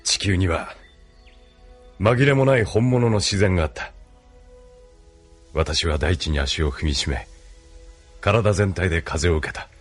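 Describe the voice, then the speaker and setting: 男性声優がアニメのオーディオドラマで、落ち着いて威厳のある中年の軍大佐を演じている。. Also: male voice, deep voice, faint music behind. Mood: grandly reflective. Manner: measured, authoritative. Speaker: voice actor. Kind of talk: anime audio drama acting.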